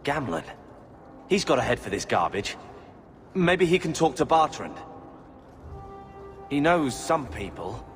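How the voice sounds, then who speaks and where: A mid-pitched British voice, sulky and sardonic, ringing with long reverb over soft music. It is a resentful young warrior in a fantasy game. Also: male voice, sing-song, unhurried, long pauses. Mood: sullen. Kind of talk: video game dialogue.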